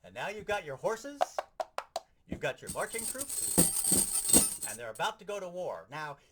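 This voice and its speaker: A man giving an animated, explanatory, hands-on lesson as a sound effects instructor. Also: sits high, moderate pace, very noisy.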